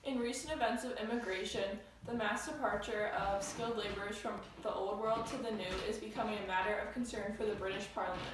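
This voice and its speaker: An earnest female co-anchor, played by a teenage girl reading scripted news. Her medium-pitched voice comes from off mic in a heavily echoing room. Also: fairly even intonation, fast, few pauses, natural voice. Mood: formal. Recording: very echoey room, distant mic, faint noise.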